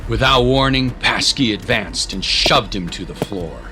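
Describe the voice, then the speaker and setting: A man's voice: on the low side, unhurried, noisy background. A man narrating a dramatic story from a script, with music behind him. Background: clear music.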